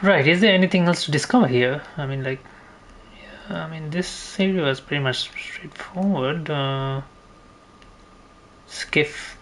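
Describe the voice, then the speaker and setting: A man's voice: a little high, measured pace, long pauses, fairly bright tone. Rambling male gamer, faint noise behind. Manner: rambling, thinking aloud. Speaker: video game streamer. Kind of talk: casual gameplay commentary. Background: game ambience.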